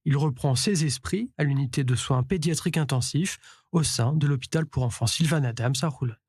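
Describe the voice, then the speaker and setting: A male reporter reading a scripted news voice-over in a measured, even way. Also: medium pitch, husky, dry recording, studio quality.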